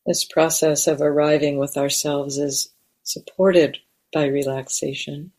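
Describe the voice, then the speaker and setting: A woman leading a guided meditation in a gentle, measured way, leaving long pauses. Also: sits low, steady tone. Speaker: meditation teacher. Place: meditation center.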